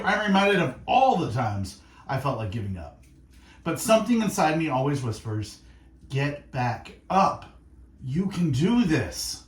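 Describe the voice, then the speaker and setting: A man hosting faith-based radio, speaking in an earnest, reflective monologue of encouragement with short, deliberate phrases. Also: a little high, roomy sound. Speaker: radio host. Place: radio studio.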